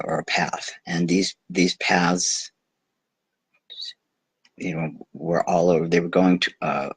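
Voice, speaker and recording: A man with a very low, husky voice reads a bedtime story aloud. He goes slowly and haltingly, translating loosely and slipping into amused asides. The recording is close and dry. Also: no echo, poor audio. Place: recording himself on video.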